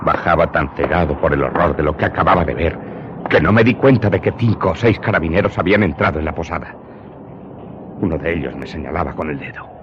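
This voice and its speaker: A man's voice: rumbling low, expressive, noisy background. An actor playing a haunted, humble Corsican smuggler turned servant who confesses his story in a radio drama. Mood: horrified, troubled. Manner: deferential, haunted, deliberate storytelling. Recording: muffled audio under prominent music.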